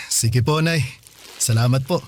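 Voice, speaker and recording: A man's voice: medium pitch, slow pace, noisy background, lightly acted. An actor with a Filipino accent playing a hardworking, affectionate son in his thirties in a radio drama, speaking respectfully and casually. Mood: tired, grateful. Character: hardworking, dutiful, affectionate 35-year-old son.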